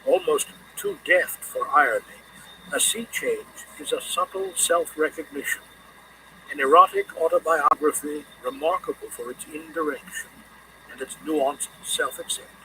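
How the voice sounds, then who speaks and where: A man narrating a scripted literary audiobook in a measured, deliberate reading manner. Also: on the high side, sharp tone, distant mic, a little hiss or hum, rough recording.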